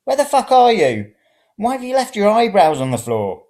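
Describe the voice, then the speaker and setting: A man's voice: high-pitched, wildly swooping pitch, normal speed, darkish tone, pitched above the performer's own voice. A man reading the dialogue of an exasperated bloke in a story, with raised, calling delivery. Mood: exasperated shouting. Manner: raised, questioning calls. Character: exasperated male narrator calling to his friend.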